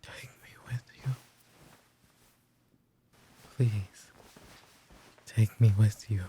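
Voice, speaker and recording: A man playing a weary, grief-haunted mafia boss in roleplay ASMR, breathy and sighing, often slipping into a whisper. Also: fairly low pitch, steady tone, drawn-out words, mellow, a little hiss or hum. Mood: pleading, grief-stricken.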